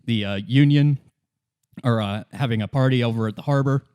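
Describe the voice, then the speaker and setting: A man narrating an improvised tabletop game as game master in a loose, halting, conversational way. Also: mid-range voice, darkish tone, clean tone, no echo. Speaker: game master, podcast host.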